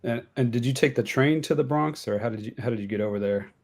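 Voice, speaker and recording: Mellow-voiced halting podcast host. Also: male voice, medium pitch. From Ohio. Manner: halting, searching for words as he asks. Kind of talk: interview questions.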